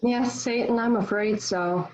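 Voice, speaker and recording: A woman's voice: fairly high pitch, a little slow, darkish tone, slight background noise, natural voice. A woman playing a serene, forgiving, saintly young warrior with composed, formal delivery in a play read over an online call. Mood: wry calm. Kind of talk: acting in a virtual table read. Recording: dull lo-fi online call audio.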